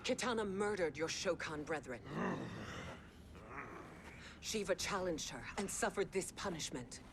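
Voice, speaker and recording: Game villain queen: low-voiced, imperious, vengeful, commanding, over clear background noise. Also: animated, measured pace. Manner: haughty, commanding. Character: imperious, vengeful warrior queen, cold and regal. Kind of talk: video game cutscene acting.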